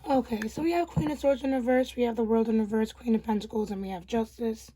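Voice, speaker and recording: A young woman talks through a tarot reading in a casual, reassuring way and pauses often on ums. Her voice sits a little high and sounds hoarse and worn from overuse. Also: fairly even intonation, background noise. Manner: gentle, reassuring, with many ums. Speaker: tarot reader.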